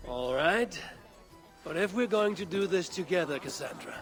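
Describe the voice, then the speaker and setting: A man's voice: high voice, lively intonation, normal speed, faint music behind. A man playing a bold, competitive, teasing Viking warrior in a video game. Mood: proud, challenging. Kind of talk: video game cutscene dialogue.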